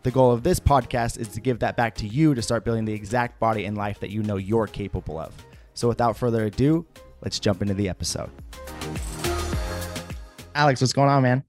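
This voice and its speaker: A man's voice: medium pitch, brisk, faint music behind. Upbeat young man hosting a podcast. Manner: upbeat, energetic, many fillers. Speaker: podcast host. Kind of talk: casual podcast chat.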